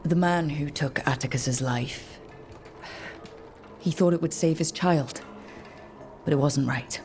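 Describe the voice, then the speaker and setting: Game lead: composed, caring adventurer, deep female voice, faint music behind. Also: slow pace, a little mellow. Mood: earnest. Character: composed woman adventurer hero, direct and sympathetic. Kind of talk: video game voice acting.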